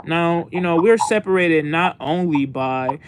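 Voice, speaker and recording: Higher-pitched American man on a noisy call. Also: measured pace. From United States. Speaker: podcast co-host. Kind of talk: casual podcast chat.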